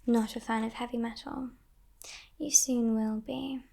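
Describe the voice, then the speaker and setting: A woman voicing a teasing, possessive female demon captor in a breathy, mock-polite whisper, as ASMR roleplay. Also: a little high, fairly even intonation. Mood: smug, amused. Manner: slow, hushed, mock-polite, condescending.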